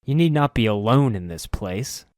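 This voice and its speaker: A man's voice: fairly low pitch, expressive, normal speed, a little mellow, no room sound, clean studio sound, close to an everyday voice. A man voicing a weary half-human male wanderer who speaks earnestly and pleadingly.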